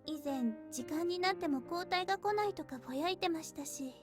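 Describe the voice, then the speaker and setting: Very high, cutesy young maid girl. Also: rapid-fire, over music. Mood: mildly worried. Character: polite, bubbly young maid girl with childlike look. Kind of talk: Japanese visual novel voice acting.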